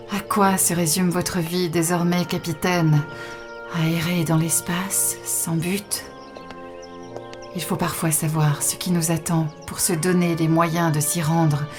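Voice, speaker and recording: A woman playing a calm, cryptic, dignified alien priestess in a sci-fi audio drama, solemn and measured. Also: lowish voice. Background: music.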